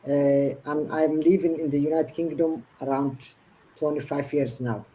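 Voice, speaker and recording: A woman's voice: low-pitched, fairly even intonation, moderate pace, mellow, slight background noise, low-quality recording. A woman in her fifties from Somalia, a guest in an online interview, telling her story earnestly in long run-on sentences. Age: 50s.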